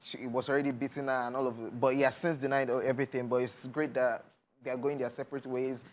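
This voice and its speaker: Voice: mid-range voice, little pitch movement, fast. A co-host from Nigeria chatting casually about celebrity news, musing and restarting sentences. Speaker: co-host of entertainment show. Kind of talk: casual chat.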